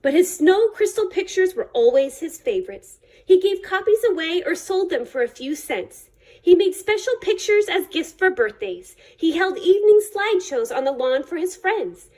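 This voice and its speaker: A woman reading a children's picture book aloud in an expressive storytelling style, with measured, dramatic phrasing. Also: very high voice. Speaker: reader of a children's picture book, library staff.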